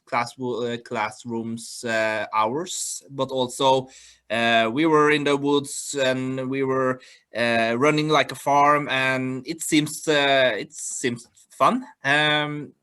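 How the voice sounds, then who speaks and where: A Norwegian man with a bright, forward voice answers interview questions over a video call. He speaks slowly and haltingly, pausing on fillers to search for words. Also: mid-range voice, fairly even intonation, smooth. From Norway. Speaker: guest.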